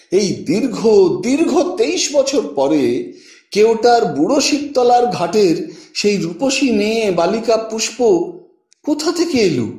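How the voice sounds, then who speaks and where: A man reading a literary story aloud as an audiobook narrator, with measured, dramatic phrasing and deliberate pauses. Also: high voice, a little mellow. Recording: roomy echo, distant from microphone.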